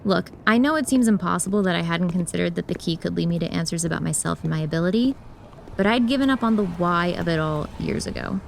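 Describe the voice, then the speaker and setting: A woman's voice: lowish voice, lively intonation, fast, gravelly, background noise. A woman playing a sardonic, jaded young woman in a horror audio drama, blunt and dry in manner. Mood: wry, confessional.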